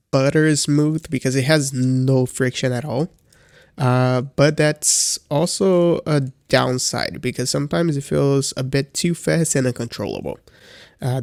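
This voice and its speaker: A man's voice: mid-range voice, creaky, darkish tone, no room sound, clean studio sound. A man with a congested voice giving a casual, hesitant review as a beginner, with frequent fillers and restarts. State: congested from a cold. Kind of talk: casual product review vlog.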